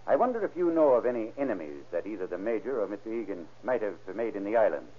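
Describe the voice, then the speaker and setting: A man's voice: fairly low pitch, very animated, quick pace, slight background noise. An actor playing a probing, businesslike male sheriff in an old radio crime drama. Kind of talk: 1930s radio acting. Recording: tinny, narrow old radio recording.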